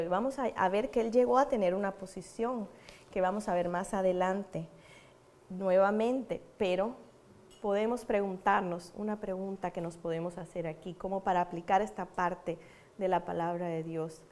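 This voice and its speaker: A woman with a Cuban accent giving a church teaching, telling personal testimonies earnestly and repeating words for emphasis. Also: mid-range voice. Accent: Cuban Spanish. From Cuba. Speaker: preacher.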